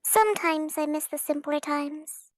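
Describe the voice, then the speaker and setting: A woman voicing an excitable young anime girl in a visual novel, with an exaggerated, drawn-out delivery. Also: sits high, no echo, studio quality. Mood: wistful.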